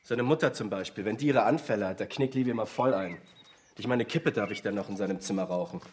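A man's voice: lowish voice, fast talker, slight background noise, lightly acted. An actor playing a blunt, resentful teenage boy in a radio drama, talking in youth slang. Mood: scornful, agitated.

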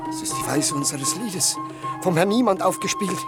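An actor playing a timid, flighty jester in a classical German radio play. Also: male voice, high voice, huge pitch swings, normal speed, over loud music, exaggerated voice. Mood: nervous.

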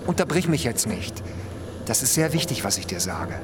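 A man playing a caring, worried father in a radio drama, speaking earnestly and gently to his child. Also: mid-range voice, sing-song, moderate pace, close to an everyday voice. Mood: urgent, reassuring. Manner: tender, earnest, instructing. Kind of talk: German radio drama acting. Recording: loud train-ride noise behind.

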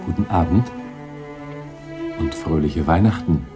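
An actor playing a friendly, festive young spirit in a radio play, courteous and reassuring. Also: male voice, very deep voice, a little slow, music in the background. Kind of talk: 1960s German radio play acting.

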